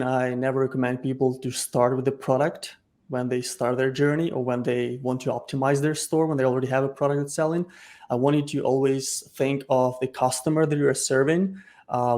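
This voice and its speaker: Ukrainian-accented man with a mellow voice. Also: mid-range voice. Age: 30s. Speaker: podcast guest. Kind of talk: casual business chat.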